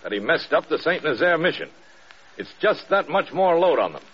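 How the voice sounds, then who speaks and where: An actor playing a stern, probing American air force general in a radio drama, measured and authoritative. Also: male voice, a little high, sing-song, breathy edge. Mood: firm, reasoning. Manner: measured, authoritative, pointed questioning. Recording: dull lo-fi old radio recording.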